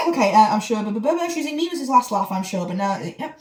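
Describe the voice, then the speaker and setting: A woman's voice: a little high, darkish tone. A woman reads a novel aloud and keeps breaking off to mock it with sarcastic, sweary asides. She is recorded from a distance in an echoey room. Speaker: reader of a novel. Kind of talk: mocking read-through with banter. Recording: echoey room, mic at a distance, faint noise.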